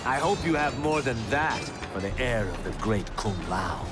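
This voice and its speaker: An actor playing a cocky young monk warrior. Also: male voice, mid-range voice, expressive, normal speed, natural voice. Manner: wisecracking, cocky. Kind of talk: video game cutscene acting. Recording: game cutscene with loud music.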